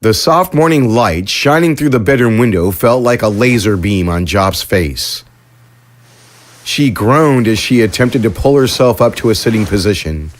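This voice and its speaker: A man narrating a science fiction story in a measured, even storytelling manner. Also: mid-range voice. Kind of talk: scripted audiobook narration.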